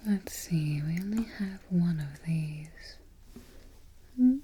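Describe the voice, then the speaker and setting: A woman playing a prim, haughty evil queen in a soft, whispered ASMR roleplay, slow and politely imperious, recorded close to the microphone. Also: fairly low pitch, expressive, slight background noise. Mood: calm, fond. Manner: unhurried, exacting, politely imperious. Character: prim, haughty evil queen instructing her new helper.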